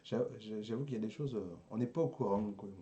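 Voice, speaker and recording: A man's voice: on the low side, quick pace, dark tone, highs cut off. A man in casual chat as a show panelist, hesitant and often repeating words as he searches for the next one. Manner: hesitant, stammering repetitions of words.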